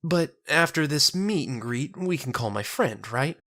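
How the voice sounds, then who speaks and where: A man's voice: on the high side, animated, unhurried, a bit bright, pitched above the performer's own voice. A man voicing a weary, cynical woman law officer in an audio story, guarded and sardonic, with colloquial phrasing. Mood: anxious.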